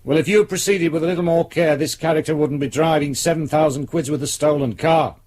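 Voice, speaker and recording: A man's voice: on the high side, quick pace, darkish tone, lightly acted. Casting: man playing older British police chief, domineering and cutting, condescending delivery, radio play. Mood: scolding. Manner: condescending, scolding, cold. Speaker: actor.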